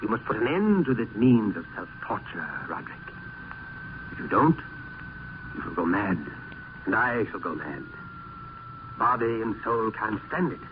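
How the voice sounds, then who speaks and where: An actor playing a grave philosophical friend and narrator in a vintage radio play, with solemn, florid, oratorical delivery. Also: male voice, on the low side, sing-song, measured pace, pauses often. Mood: urgent, stern. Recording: tinny, noisy old radio recording.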